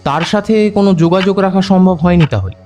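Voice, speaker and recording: An actor playing a composed, polite but insistent private detective in an audio drama, speaking in a measured way. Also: male voice, high-pitched, mellow, natural voice. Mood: probing, polite. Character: composed, probing male private detective questioning suspects. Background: background music.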